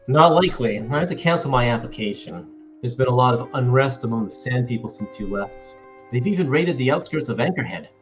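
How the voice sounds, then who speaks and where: A man voicing a restless, excitable young farm boy in an amateur table read of a film script. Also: mid-range voice, brisk, highs cut off, soft background music, lightly acted. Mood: resigned, earnest. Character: restless young farm boy, eager but stuck at home.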